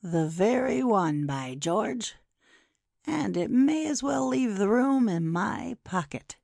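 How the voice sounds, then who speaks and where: A woman plays a cruel, gloating poisoner in a dramatic audiobook. The delivery is slow and taunting, gravelly with fry, and set close in a dry space. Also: medium pitch, lively intonation, darkish tone, no echo.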